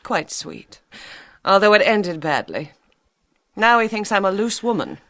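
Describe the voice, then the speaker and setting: A woman's voice: mid-range voice, sing-song, a little slow, a bit bright, dry recording, clean studio sound. An actress playing a worldly, wry woman with a past, cool and wistful, in a radio drama.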